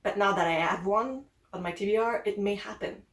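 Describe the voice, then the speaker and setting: A woman's voice: medium pitch, a little fast, echoey room. A young woman from Quebec with a French-Canadian accent, chatting casually and upbeat about the books she plans to read. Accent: Québécois French. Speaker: book vlogger. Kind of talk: casual chat.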